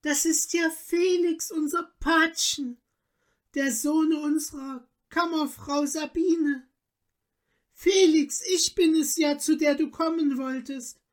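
A woman reader puts on a noble countess from a storybook, lifted high in pitch. She speaks very slowly in solemn, courtly phrases. Her temper is gracious and full of feeling. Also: fairly even intonation. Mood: overjoyed, tearful. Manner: courtly, old-fashioned, solemn diction. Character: gracious noble countess, deeply moved and grateful.

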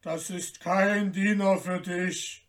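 A man acting out a huge old giant, gruff, booming, grumbling, very slow, gullible, storybook character. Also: steady tone. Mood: grumbling, alarmed. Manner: slow, drawn-out, emphatic delivery.

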